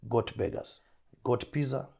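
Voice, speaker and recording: A man with a Zambian accent answers interview questions as an entrepreneur, his voice husky as he recovers from flu. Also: mid-range voice, steady tone, a little slow, warm tone.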